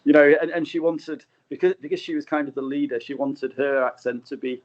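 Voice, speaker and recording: A man's voice: on the high side, level tone, brisk. A man speaking as a guest film director in a casual interview, hesitant and often correcting himself, on slightly dull remote audio.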